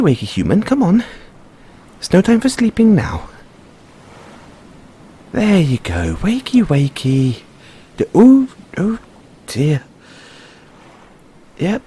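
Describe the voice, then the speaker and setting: A man's voice: high-pitched, dark tone, slightly rough, full character voice. A man playing an earnest, cheery merman prince in an audio roleplay, speaking in a theatrical, exclamatory way with genteel, fussy politeness. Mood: anxious, urging. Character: young, cheery, dramatic, naively earnest merman prince of Atlantis. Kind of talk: audio roleplay addressed to the listener.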